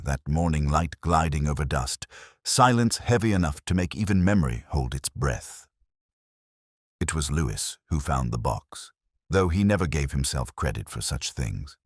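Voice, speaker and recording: A man narrating a literary detective drama in the first person, measured, brooding and reflective, as a detective recounting a case. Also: rumbling low, no echo, clean studio sound.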